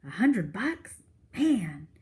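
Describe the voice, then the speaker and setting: A woman voicing a curious, excitable young boy with eager, wide-eyed questions in a storybook read-aloud. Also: mid-range voice, extremely slow, breathy edge, full character voice. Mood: amazed, curious.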